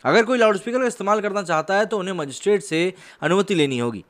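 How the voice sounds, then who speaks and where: Higher-pitched man presenting news. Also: lively intonation, fast talker. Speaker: YouTube news host and commentator. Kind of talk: news commentary, reading reports to camera.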